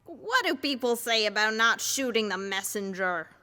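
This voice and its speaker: Woman doing a high, bright, nervous henchman. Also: animated, moderate pace. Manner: hesitant, slangy street-tough talk. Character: nervous, hapless male gangster henchman. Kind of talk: old-time radio serial acting. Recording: hall-like reverb.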